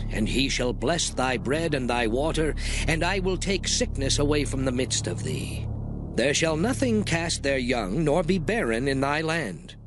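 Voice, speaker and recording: An earnest declaiming lawgiver. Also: male voice, medium pitch, low-quality recording. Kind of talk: dramatized scripture reading. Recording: voice over background music.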